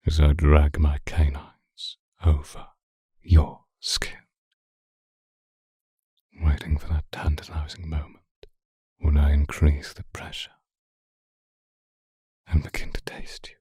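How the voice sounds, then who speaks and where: A man playing an obsessive, seductive vampire in an intimate, hushed ASMR roleplay, speaking deliberately and often whispering close to the microphone. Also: completely flat delivery, dry recording, heavily acted. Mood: seductive, menacing. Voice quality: very deep, breathy, husky, often whispering. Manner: slow, deliberate, hushed, formal wording. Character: seductive male vampire stalker, obsessive and predatory. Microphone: close microphone, dry sound.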